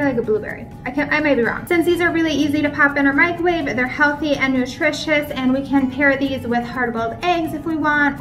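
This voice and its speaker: A woman's voice: high-pitched, sharp tone. A woman in her thirties from Minnesota, chatting to the camera at home as she holds up items one by one. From Minnesota, USA. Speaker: vlogger. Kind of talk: casual product show-and-tell. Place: bright home room indoors.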